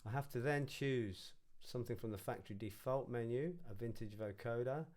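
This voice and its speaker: A man's voice: medium pitch, normal speed, a little mellow. A man narrating an informal music production tutorial, casually thinking aloud as he works.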